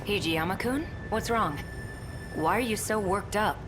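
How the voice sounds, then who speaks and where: A woman's voice: mid-range voice, lively intonation, a little slow, lightly acted. A woman playing a coy, self-possessed androgynous youth in a video game, teasing and nonchalant in delivery. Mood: casual, teasing. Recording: game music playing loudly behind.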